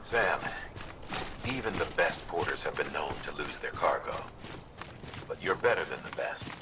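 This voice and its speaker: An actor playing a gruff, commanding director giving measured, earnest mission briefings in a video game. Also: male voice, extremely low pitch, expressive, in a large hall, recorded from a distance, noisy background. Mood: solemn encouragement.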